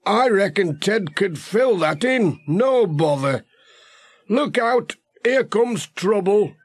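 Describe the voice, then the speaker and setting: A man's voice: high-pitched, animated, slow, husky, no echo, voice pushed up, full character voice. A man voicing a plain-spoken, wry countryman with a blunt, informal manner in a children's audiobook. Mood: wry, unimpressed. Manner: blunt, informal rustic talk. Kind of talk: children's audiobook character reading.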